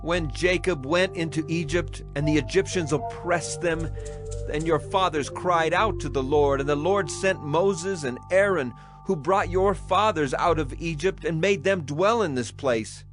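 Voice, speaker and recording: A man acting as an aging prophet delivers a dramatized scripture oration. His voice sits fairly high for a man, solemn and righteous, stern but caring. Clear music plays behind his declamation. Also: a little slow, lightly acted. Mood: solemn, admonishing. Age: older.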